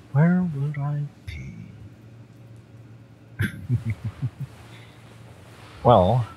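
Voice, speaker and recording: Guy doing a cocky sardonic merc for audio rp, deep voice, real slow n menacing, kinda exasperated. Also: darkish tone, a little hiss or hum, full character voice, poor audio. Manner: taunting, sardonic, drawn-out deliberate delivery. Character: fiery male mercenary, cocky, exasperated, menacing yet familiar. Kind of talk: scripted romance audio roleplay acting.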